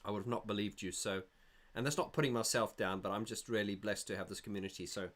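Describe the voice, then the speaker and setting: A man's voice: lowish voice, fairly even intonation, fast, a bit bright. A middle-aged man from South Africa hosting a casual livestream, reading viewer comments aloud and rambling with frequent restarts. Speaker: livestream host.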